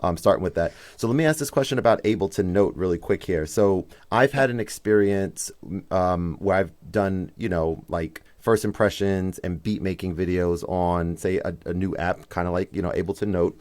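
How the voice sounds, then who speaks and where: Deep-voiced enthusiastic male host. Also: normal speed, darkish tone. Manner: enthusiastic, affirming with frequent mm-hmms. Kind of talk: podcast interview.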